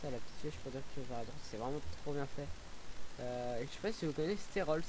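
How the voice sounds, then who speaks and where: A young man casually commenting on a driving game, rambling with many fillers and restarts, sometimes whooping with excitement. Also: medium pitch, quick pace, noisy background. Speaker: gaming YouTuber.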